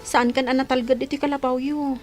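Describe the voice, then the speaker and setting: A woman's voice: high voice, level tone, brisk, soft background music, lightly acted. An actress playing a supportive female friend, sympathetic and coaxing, in a radio drama. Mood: concerned, coaxing. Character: supportive female friend offering shelter and help. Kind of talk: Ilocano radio drama acting.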